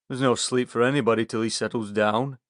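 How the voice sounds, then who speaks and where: A man's voice: mid-range voice, fairly even intonation, a little fast, a bit bright, no echo, clean studio sound, natural voice. A man voicing a bitter, fed-up village smallholder with a Yorkshire accent in an audiobook. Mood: bitter, complaining.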